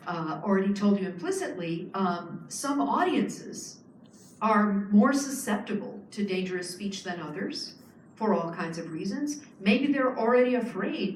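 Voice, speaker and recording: A woman's voice: fairly low pitch, darkish tone, far from the mic, slight background noise. A woman giving a public lecture, explaining earnestly with frequent fillers and restarts. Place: lecture hall. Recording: echoey hall, speaker off-mic.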